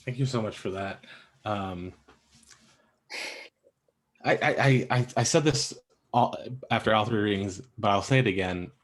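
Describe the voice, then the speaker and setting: A man with a fairly low voice hosts a casual panel. He speaks haltingly, often doubling words as he gets a sentence going. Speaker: host, moderator.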